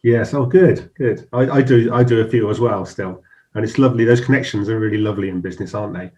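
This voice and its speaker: A man's voice: fairly low pitch, fast, dull audio. Hesitant middle-aged male business host. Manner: warm, hesitant, many restarts and fillers. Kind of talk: business interview chat.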